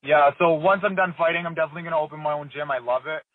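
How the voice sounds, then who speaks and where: A man's voice: a little high, very fast, no echo. Man in his thirties on a tinny phone line. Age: 30s. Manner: relaxed, rambling with many fillers and restarts. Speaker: MMA fighter, interview guest. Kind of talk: casual phone interview.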